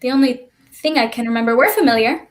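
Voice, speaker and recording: A woman's voice: a little high, fast talker, close to an everyday voice. A woman playing a reassuring, teasing child in a staged reading of a play. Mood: puzzled. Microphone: video call.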